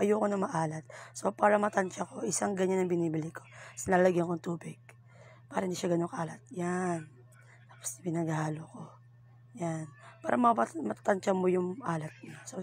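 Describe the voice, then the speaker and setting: A chatty woman walks through her cooking in a relaxed, giggly way and teases herself as she goes. Her voice sits a little low and sounds hoarse, often slipping into a breathy whisper. She records at home, in a bathroom. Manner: chatty, giggly, self-teasing. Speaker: cooking vlogger. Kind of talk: casual cooking tutorial in Taglish.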